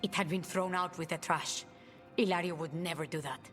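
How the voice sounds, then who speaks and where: A woman's voice: fairly low pitch, steady tone, brisk, fairly bright tone, close to an everyday voice. An actress playing an assured, persuasive ally in a video game, firm and pointed in her speech. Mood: assured, urging. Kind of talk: video game character dialogue. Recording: faint music behind.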